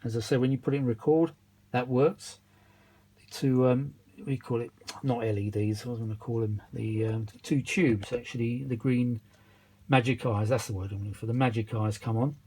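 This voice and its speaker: A man's voice: mid-range voice, fairly even intonation, a little fast, a little mellow, husky. Rambling man, casual and mildly weary. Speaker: vintage audio repair vlogger. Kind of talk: casual unscripted workshop chat.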